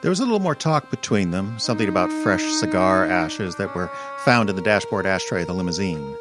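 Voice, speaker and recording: An actor playing a wry, put-upon detective's assistant, narrating in deadpan first person in old time radio style. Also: male voice, low-pitched, a little fast. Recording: loud music under the voice.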